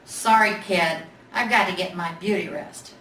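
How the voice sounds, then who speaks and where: A big, gruff toy bear from a children's storybook, acted out by a woman, speaks in blunt, impatient grumbles. The sound is roomy and distant, with some background noise. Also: lowish voice, measured pace, fairly bright tone. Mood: grumbling, dismissive. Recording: roomy, noisy, distant library mic.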